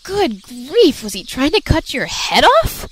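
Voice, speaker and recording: A woman playing a brisk, caring companion who teases matter-of-factly in an amateur radio drama. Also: high voice, wildly swooping pitch, a bit bright, exaggerated voice. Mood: brisk, teasing. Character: brisk, teasing, caring companion of a hedgehog hero. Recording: noisy amateur recording.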